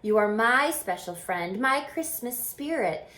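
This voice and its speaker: A woman's voice: a little high, lively intonation, a little slow, fairly bright tone. A woman voicing a small, earnest, selfless male Christmas elf from a storybook in a sincere, sing-song way. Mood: tender, singing. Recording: roomy, echoing room sound.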